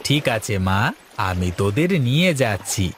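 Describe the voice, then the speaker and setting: A man's voice: on the low side, big pitch swings, normal speed, a little hiss or hum. A man voicing a caring, humble village boatman and devoted father in a cartoon. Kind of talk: cartoon voice acting.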